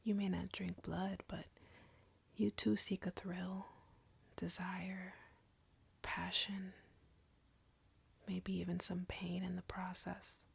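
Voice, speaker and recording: A very breathy, husky female vampire played by a woman in an intimate audio roleplay. She speaks slowly in a taunting, seductive whisper with a gravelly crackle, arrogant and cruel as she flirts with her prey. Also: on the low side, darkish tone, heavily acted. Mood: menacing, possessive. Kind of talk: intimate scripted audio roleplay addressed to the listener.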